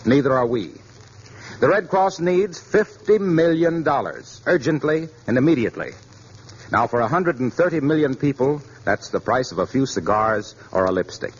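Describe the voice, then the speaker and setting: A man's voice: medium pitch, lively intonation, moderate pace, slightly rough. A man with an American accent announcing in formal, declamatory 1940s radio style from a script. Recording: muffled 1940s radio, faint noise.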